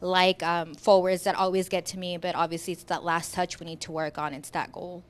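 A woman interpreting a player's answers into English at a press conference, in steady plain summaries. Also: fairly low pitch, normal speed, a little hiss or hum.

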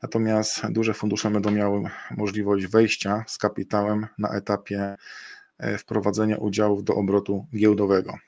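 A middle-aged man presents a webinar on a video call, in a fairly low and quite flat voice. His delivery is halting and full of fillers and restarts. The sound is dry and close. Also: vocal fry, no echo. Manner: hesitant, many fillers and self-corrections. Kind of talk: screen-share webinar presentation. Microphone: webcam and computer mic on a video call.

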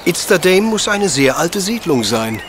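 An actor playing an unflappable, pedantic professor detective in a German audio drama, speaking precisely and condescendingly. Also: male voice, sits high, big pitch swings, unhurried, noisy background. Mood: politely probing.

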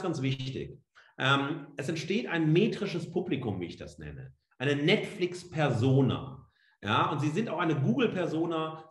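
A middle-aged man lectures like a professor on a video call from a roomy, echoing indoor space. His pitch moves around in a very animated way. He explains things conversationally but with emphasis. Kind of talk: online lecture, freely spoken. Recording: roomy, echoing room sound.

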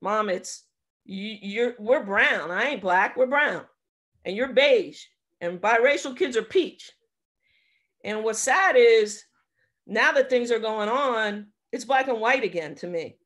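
Woman coach in her 50s, talking earnest and halting over a zoom call from home. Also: on the high side, animated, a bit bright. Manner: earnest, halting, with many restarts. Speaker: basketball coach. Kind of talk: emotional personal story on a video call. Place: home room, indoors. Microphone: laptop webcam on a video call.

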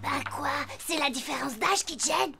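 A woman voicing an excitable, timid and teasing young schoolboy sidekick in a cartoon, childlike and exclamatory. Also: high voice, moderate pace, gravelly, over loud music, exaggerated voice. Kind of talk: animated cartoon dubbing in French.

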